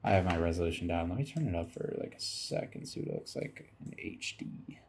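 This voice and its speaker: A man's voice: sits low, lively intonation, a little fast, gravelly, darkish tone, a little hiss or hum. A tired man giving rambling, casual commentary over game footage, musing aloud as he goes and swearing casually.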